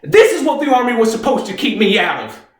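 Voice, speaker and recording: A man's voice: sits high, sing-song, moderate pace. A man playing a defiant, unstable, bitter young army private from Texas, cocky and agitated, in a stage monologue. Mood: anguished, angry. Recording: echoey room, distant microphone.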